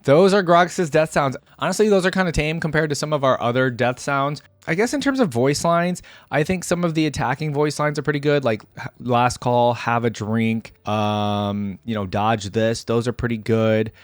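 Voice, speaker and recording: A young adult man hosts a reaction video in a casual, musing style. His pitch is average and the sound is dry, with little room in it. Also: moderate pace, dry recording. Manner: musing, tentative asides to viewers.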